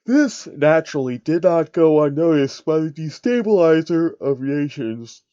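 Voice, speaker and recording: Man, lively swooping pitch, theatrical fan fiction narration, dry room, slightly dull sound. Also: a little slow, clean tone, no room sound. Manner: animated, theatrical. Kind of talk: fan fiction reading with amused asides.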